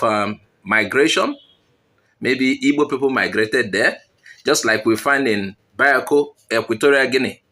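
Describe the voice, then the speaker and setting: A man's voice: on the high side, pushed and bright. A man, hosting a channel and giving earnest commentary straight to his viewers. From Nigeria. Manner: earnest, appealing directly to viewers. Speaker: channel host.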